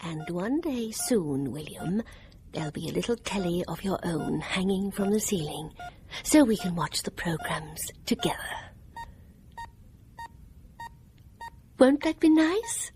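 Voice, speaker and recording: A woman's voice: fairly low pitch, sing-song, normal speed, background noise. An actress playing a widow who coos with condescending, menacing sweetness at her helpless husband in a radio horror drama. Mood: gushing, gloating. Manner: cooing, mock-tender, condescending.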